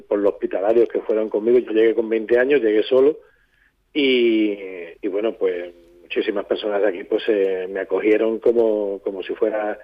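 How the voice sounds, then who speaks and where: A man, speaking reflectively as an interview guest, halting as he searches for words. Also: lowish voice, narrow, tinny audio. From southern Spain. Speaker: poet and songwriter guest.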